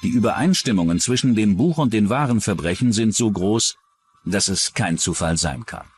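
A man's voice: lowish voice, animated, moderate pace, faint music behind. A man reading scripted true-crime documentary narration as the narrator.

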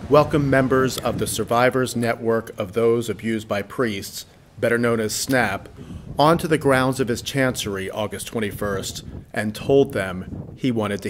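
A man narrating a news documentary in a steady, even reporting voice. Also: mid-range voice, moderate pace, slight background noise.

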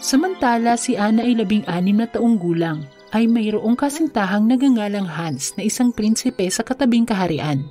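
A woman narrates a children's fairy tale with a mellow, soft-edged tone. Her pitch sits in the middle, and music plays behind her. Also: expressive, moderate pace. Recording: music under the voice. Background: background music.

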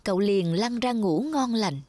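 A woman's voice: mid-range voice, lively intonation, measured pace, dark tone, dry recording, clean studio sound. A woman narrator reading a scripted fairy tale as storytelling. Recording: music behind the voice.

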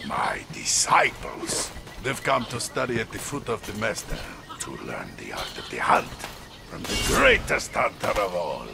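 An actor playing a proud, menacing master hunter in a video game, with a gravelly, grandiose, commanding delivery. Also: male voice, fairly low pitch, huge pitch swings, a little slow, heavy background noise, full character voice. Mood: boastful, menacing. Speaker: villain character in a video game. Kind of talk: video game cutscene and combat acting. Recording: loud game music behind voice. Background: loud game music.

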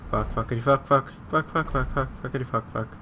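A man's voice: mid-range voice, fairly quick, pushed and bright, a little hiss or hum. A man giving casual gameplay commentary, muttering to himself, repeating phrases and swearing. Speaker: gamer recording a let's play.

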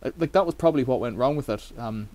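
A man's voice: medium pitch, fast. Chatty man in a bike workshop. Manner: relaxed, rambling, wry asides. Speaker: bike repair vlogger. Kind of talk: casual how-to demonstration. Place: cluttered bike workshop indoors.

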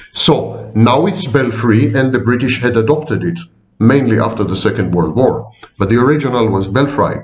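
A man of sixty, a psychology professor, giving a wry, digressive informal lecture to viewers, often repeating and correcting himself. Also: mid-range voice. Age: 60s. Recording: echoey room, dull lo-fi sound.

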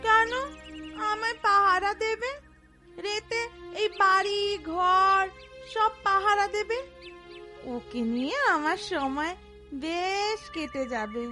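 A woman's voice: very high-pitched, slow, pauses often. A woman playing a lonely, coaxing village housewife in a cartoon, with rustic speech and a pleading manner. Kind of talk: cartoon voice acting, rustic dialogue. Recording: music behind the voice.

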